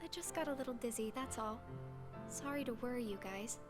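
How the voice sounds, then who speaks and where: Game role: female actor as kind, anxious young girl, high-pitched, tentative delivery, faint music behind. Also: fairly quick, natural voice. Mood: gentle, apologetic. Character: gentle, soft-hearted, worried young girl. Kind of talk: video game cutscene voice acting.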